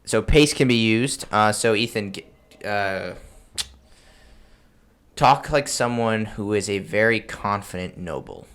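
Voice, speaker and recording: A man's voice: fairly low pitch, slow, a bit bright, clean tone. A man hosting a podcast, explaining things in a casual, chatty way. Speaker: podcast host.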